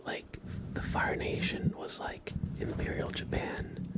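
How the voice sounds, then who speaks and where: A man hosting an ASMR livestream, chatting softly and mostly in a breathy whisper. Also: slow pace. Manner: soft-spoken, mostly whispering. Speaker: livestream host.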